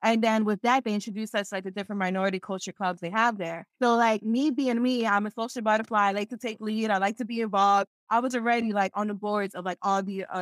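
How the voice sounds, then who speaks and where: A woman's voice: medium pitch, no echo. Young woman from Philly, podcast guest, chatty, lots of "like", dry close sound. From Philadelphia, USA. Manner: animated, laughs often, lots of filler "like". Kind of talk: casual podcast interview.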